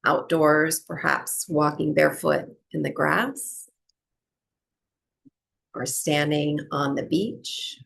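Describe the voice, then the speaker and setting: Woman leading a guided meditation, super slow with long pauses, bit husky and creaky. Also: low-pitched, little pitch movement, a bit bright, low-quality recording. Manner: unhurried, with long pauses between short phrases. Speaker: breathwork and yoga nidra teacher.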